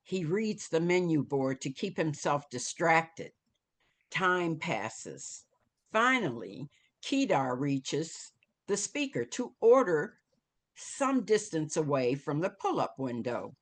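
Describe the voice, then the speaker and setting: A woman reading screenplay stage directions aloud as scripted narration, with dramatic, deliberate phrasing. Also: sits low, sing-song.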